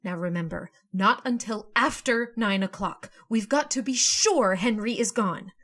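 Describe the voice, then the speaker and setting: Actress as a husky, scheming woman. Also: medium pitch, unhurried, a bit bright. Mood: urgent, commanding. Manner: urgent, commanding. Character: scheming, controlling woman plotting a murder. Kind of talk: old-time radio thriller acting.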